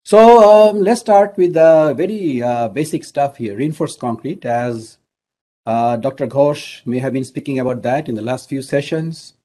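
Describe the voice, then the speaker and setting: A middle-aged man from Bangladesh, an engineering professor, giving an online lecture in a measured way with many fillers. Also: medium pitch, warm tone.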